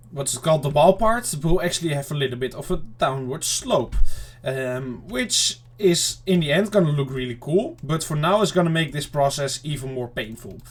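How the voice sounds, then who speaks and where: Chatty man with a scratchy throat. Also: fairly high pitch. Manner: rambling, chatty self-talk to viewers. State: throat slightly off, clearing it often. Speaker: gaming channel host. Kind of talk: casual let's-play commentary.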